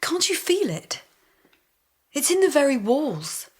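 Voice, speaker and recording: An actress playing a fragile but insistent scientist in a radio drama, intense and fraught. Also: female voice, high-pitched, sing-song, slow pace, full character voice. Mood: insistent dread. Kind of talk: naturalistic radio drama acting.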